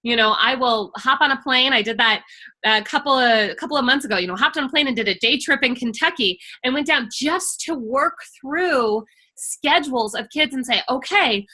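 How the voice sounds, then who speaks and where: A middle-aged woman speaking with a bright, forceful edge and a slightly high pitch. She talks passionately and emphatically, as if advising parents in a relaxed workshop chat. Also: moderate pace. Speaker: IEP coach and workshop host. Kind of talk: casual webinar conversation.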